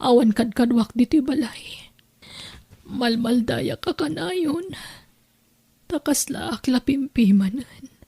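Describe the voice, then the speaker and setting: A high-voiced actress playing a trusting older sister in an Ilocano radio drama. She speaks with an Ilocano accent and an emotional, pleading manner, as a hardworking woman who has been deceived. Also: expressive, slow pace, long pauses, warm tone, breathy edge, full character voice. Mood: weak, sad.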